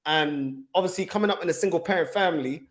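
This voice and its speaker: A man in his thirties from England with a notably high voice, who speaks earnestly and from the heart. His tone stays conversational and open as he hosts the chat. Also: quick pace. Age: 30s. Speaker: fan channel host. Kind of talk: casual chat.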